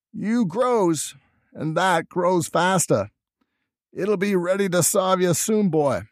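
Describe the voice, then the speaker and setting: Wheezing, high-strained old rustic grandfather. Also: no room sound, studio quality. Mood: urgent, choking. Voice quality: wheezing, gasping. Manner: rustic dialect, choked out in bursts. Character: dying old backwoods grandfather, mad and urgent.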